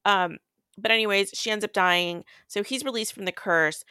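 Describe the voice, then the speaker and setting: A woman's voice: mid-range voice, moderate pace, sharp tone, clear, resonant tone, dry recording, clean studio sound. A woman co-hosting a podcast, casually recapping a book in a rambling, slangy way. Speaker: podcast co-host.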